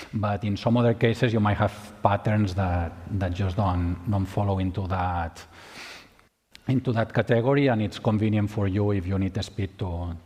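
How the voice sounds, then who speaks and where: A man's voice: lowish voice, a little mellow. A man from Spain with a Spanish accent, giving a conference tutorial, often restarting and repeating words. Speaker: tutorial presenter. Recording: long hall reverb.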